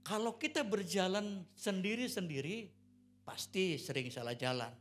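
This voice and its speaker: An older male pastor in his sixties gives a sermon at a church service with a slightly raised voice. He tells stories in a chatty way and builds to loud, emphatic declarations. A guitar plays softly behind him. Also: sits high, animated, a little slow. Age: 60s. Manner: conversational, swelling into loud emphatic preaching.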